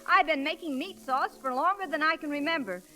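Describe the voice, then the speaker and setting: An actress playing a proud, plain-spoken small-town cook in an old radio comedy, tart and direct. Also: female voice, high voice, a little fast, sharp tone, faint music behind. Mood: indignant. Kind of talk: 1930s-40s radio comedy acting. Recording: vintage radio recording with sizzling crackle.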